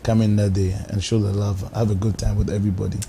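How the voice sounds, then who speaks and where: Male DJ guest, low warm voice, earnest, lots of restarts. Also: flat delivery, fast, vocal fry, a little hiss or hum. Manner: earnest, repeats words, restarts often. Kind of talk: casual interview talk. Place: TV studio.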